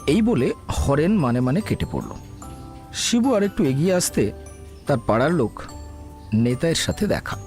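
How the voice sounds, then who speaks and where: A man narrating a village tale in an expressive storytelling manner. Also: medium pitch, normal speed, pauses often. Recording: background music under narration.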